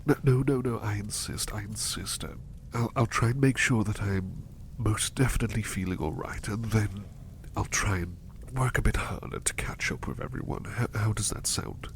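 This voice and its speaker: Man playing a low, gravelly, soft-spoken butler. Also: a little hiss or hum, lightly acted. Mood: earnest, apologetic. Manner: halting, repeats phrases, effusively polite. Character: gentle devoted male butler, bashful, eager to please, doting. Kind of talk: soft-spoken ASMR roleplay.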